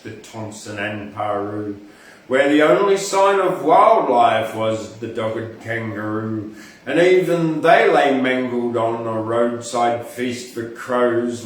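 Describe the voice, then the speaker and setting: A man's voice: medium pitch, fairly bright tone. An Australian man hosting an informal poetry reading, reciting verse and rambling through chatty asides as he thinks aloud. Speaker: poetry podcast host and reader. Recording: echoey, distant mic, faint background noise.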